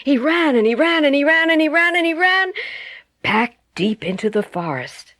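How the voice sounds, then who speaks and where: A woman narrating a children's bedtime story as a storyteller, with expressive, dramatic and carefully phrased delivery. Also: sits high, moderate pace, dry recording, studio quality. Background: music.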